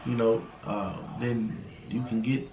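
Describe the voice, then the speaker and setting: Man, thirties, American accent, mid-pitched voice, informal slangy delivery, motivational interview guest, roomy echo. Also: steady tone, takes it slowly, darkish tone, highs cut off, slight background noise. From United States. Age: 30s. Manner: street slang, frequent "you know what I'm saying". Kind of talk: casual motivational talk. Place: indoors in a house. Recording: roomy, echoing home interview.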